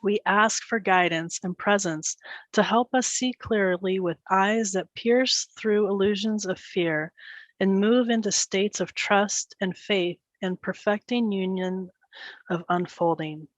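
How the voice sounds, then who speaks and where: A woman's voice: mid-range voice, no echo. Female meditation leader, mid-range voice, soothing and unforced, phrase-by-phrase guidance with space between, very little room sound. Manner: gentle, soothing instruction in short phrases with pauses. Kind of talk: guided meditation.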